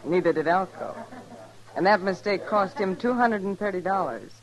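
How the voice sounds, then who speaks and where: A woman's voice: medium pitch, moderate pace, lightly acted, rough recording. Woman acting, hard young female gambler, American accent, cool, resolute, radio western drama, crowd chatter in background. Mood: cool, frank. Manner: cool, matter-of-fact, resolute. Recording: old radio recording, crowd behind.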